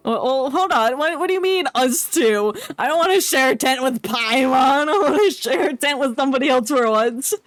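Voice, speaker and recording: High-voiced playful woman streamer. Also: moderate pace, hardly any pauses, dry recording. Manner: playful, giggly, mock-dramatic. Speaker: livestreamer. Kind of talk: casual game commentary with jokey reactions. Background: game music.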